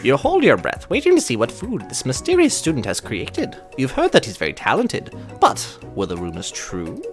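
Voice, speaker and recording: A man co-hosting a game playthrough, switching between casual chat and animated story narration. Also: medium pitch, normal speed. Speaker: gaming video co-host and narrator. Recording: music behind.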